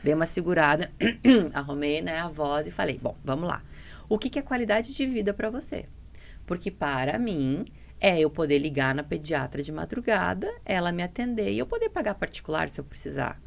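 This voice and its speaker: Woman, lowish gravelly voice, blunt, emphatic podcast storytelling. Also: sing-song, a little fast. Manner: blunt, emphatic, repeats herself, quotes dialogue. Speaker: podcast guest, dental mentoring business partner. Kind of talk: casual podcast conversation, animated storytelling.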